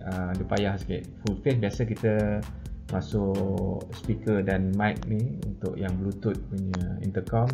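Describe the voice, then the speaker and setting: Casting: Malaysian man, forties, low creaky voice, informal hesitant product explainer who mixes in English terms. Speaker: product reviewer. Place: medium-sized indoor office.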